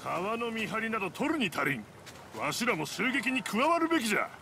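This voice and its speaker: An actor playing a rough-spoken, agitated commoner in a samurai-era game, with rustic old-fashioned speech. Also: male voice, high-pitched, big pitch swings, brisk, music in the background. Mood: discontented.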